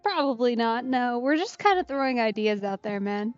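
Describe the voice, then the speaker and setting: A woman's voice: on the high side, animated, moderate pace, muffled recording, soft background music. A woman doing casual let's play commentary, with a wry, laid-back manner. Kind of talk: casual gameplay commentary, reading player dialogue.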